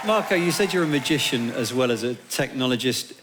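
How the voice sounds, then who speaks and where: A man's voice: mid-range voice, animated, a little fast. Male live show host over music. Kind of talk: live stage show hosting. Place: theatre stage before a live audience.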